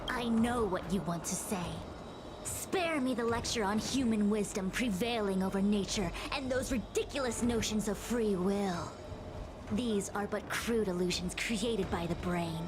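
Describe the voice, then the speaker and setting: Actress as imperious, fatalistic possessed seer over loud music. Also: female voice, medium pitch, unhurried, natural voice. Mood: cold disdain. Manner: declamatory, condescending. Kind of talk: dramatic video game voice acting.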